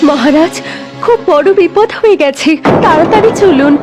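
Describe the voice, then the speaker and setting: A woman's voice: very high voice, animated, normal speed. A woman voicing an anxious, frightened queen and mother in a cartoon fairy tale. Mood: alarmed. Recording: music behind the voice.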